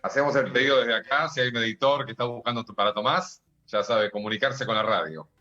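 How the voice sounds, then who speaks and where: A man's voice: mid-range voice, fast talker, sharp tone. A man with an Argentine accent hosting a radio interview, with brief affirming interjections. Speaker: radio host. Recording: dull lo-fi sound with faint music.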